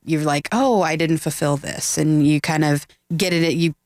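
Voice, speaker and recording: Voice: medium pitch, normal speed, a little mellow, dry recording. Friendly guest chatting about films, dry close sound. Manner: chatty, friendly, laughs easily. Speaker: guest filmmaker. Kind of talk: casual interview chat.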